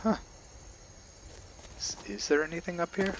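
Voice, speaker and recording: A man giving casual, somewhat halting commentary over gameplay as the host of a gaming video. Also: on the high side, very animated, a bit bright, a little hiss or hum.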